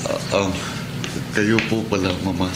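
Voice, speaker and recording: Deep-voiced devout Filipino policeman husband. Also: level tone, unhurried, heavy background noise. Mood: anxious, grateful. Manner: earnest, respectful. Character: devout, troubled suspended policeman and devoted husband. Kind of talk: Filipino radio drama acting.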